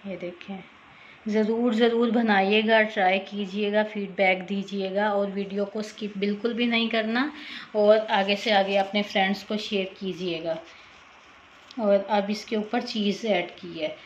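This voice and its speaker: A woman narrating a cooking tutorial as voice-over, talking casually and directly to her viewers, often pausing with a quick "okay". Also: mid-range voice, fairly even intonation, muffled recording, slight background noise. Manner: chatty, repeats "theek hai", addresses viewers directly. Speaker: cooking channel host.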